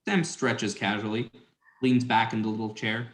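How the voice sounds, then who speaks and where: A man's voice: fairly low pitch, flat delivery, normal speed, a bit bright. A young adult man reading scripted stage directions as a narrator. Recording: echoey, reverberant room.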